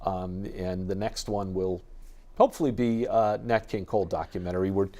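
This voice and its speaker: A man's voice: low-pitched, moderate pace, dark tone. An American man talking casually as an interview guest from a radio station.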